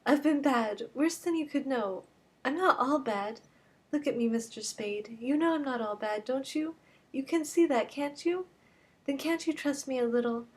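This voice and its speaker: A woman's voice: normal speed, darkish tone, natural voice. A woman voicing a desperate, theatrical young woman who begs and implores in a dramatic reading of a novel. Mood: pleading. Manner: breathless, imploring, rising pitch.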